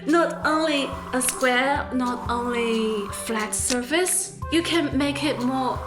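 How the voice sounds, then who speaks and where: A woman's voice: sits high, slow pace. A woman talking reflectively about her own work as an artist, in documentary interview style. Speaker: clay artist, workshop leader. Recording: roomy echo. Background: music.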